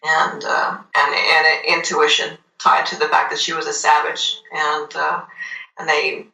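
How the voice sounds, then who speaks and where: A woman's voice: low voice, completely flat delivery, measured pace, creaky, pushed and bright. A woman chatting casually about film and TV as a podcast co-host, emphatic and repeating phrases for stress. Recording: roomy, echoey voice recording.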